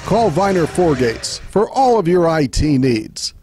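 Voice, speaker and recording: A man reading a sponsor ad in a deliberate, sing-song studio voice. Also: on the high side, big pitch swings, warm tone, faint music behind. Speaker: sponsor announcer. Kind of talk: read radio-style ad.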